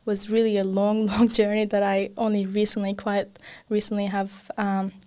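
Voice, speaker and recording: A young woman from Afghanistan, a podcast guest speaking reflectively in casual conversation and often laughing softly. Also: medium pitch, little pitch movement, normal speed, clean tone.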